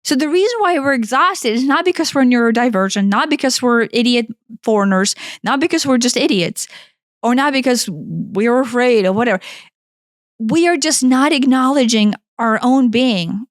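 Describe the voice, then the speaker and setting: A woman's voice: sits high, animated, normal speed, no room sound, studio quality. A woman in her forties with a Ukrainian accent, co-hosting a podcast in a blunt, emphatic way that swears freely. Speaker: podcast co-host. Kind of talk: impassioned self-help talk.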